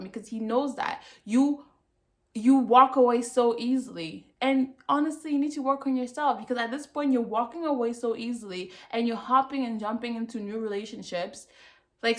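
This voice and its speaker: A woman from Cameroon, giving a casual review of a TV show on her channel and stressing her points by saying them twice. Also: fairly high pitch. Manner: emphatic, repeats herself for effect. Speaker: vlogger.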